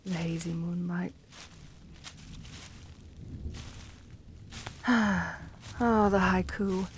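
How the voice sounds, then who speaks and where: A woman's voice: on the low side, steady tone, slow, a little hiss or hum. Woman voicing a shy, courtly gargoyle girl. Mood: wistful. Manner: formal, polite, endearing diction with shy hesitations. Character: shy, lonely, romantic gargoyle woman, gentle and bookish. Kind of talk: ASMR fantasy roleplay, intimate one-sided dialogue.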